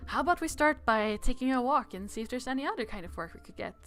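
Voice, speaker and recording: A woman voicing a gentle, eager young prince in a fairy tale game, with a polite and earnest manner. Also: on the high side, lively intonation, quick pace, faint music behind.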